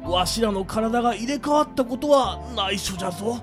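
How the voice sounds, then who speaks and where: An actor takes on a kindly old man from a radio drama. The delivery is deliberate and explanatory, full of old-timer phrasing. The pitch sits in the middle, with music clearly playing behind. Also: expressive, lightly acted. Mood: cautioning. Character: kindly old man speaking archaic elderly Japanese. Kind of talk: amateur radio drama acting.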